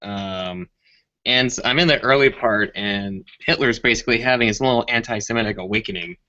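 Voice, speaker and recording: Bright-voiced man, dull call audio. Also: medium pitch, fairly quick. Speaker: participant in an online hangout. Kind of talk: casual group chat. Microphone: online voice call.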